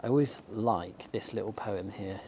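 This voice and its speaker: A man's voice: on the low side, moderate pace, darkish tone, slight background noise. A man from England, slightly losing his voice, chatting enthusiastically as he films himself walking up a sunny outdoor shopping street. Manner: chatty and enthusiastic, with frequent ums. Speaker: bookshop owner and vlogger. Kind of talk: casual walking tour vlog. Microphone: handheld camera filming himself while walking. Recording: faint outdoor street ambience.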